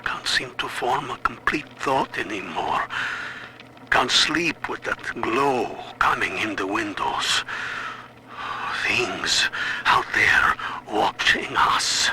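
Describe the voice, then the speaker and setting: A man's voice: medium pitch, animated, slow pace, background noise. A man playing an exhausted, hopeless prisoner in a video game audio log. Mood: hopeless, weary. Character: despairing, exhausted imprisoned family man.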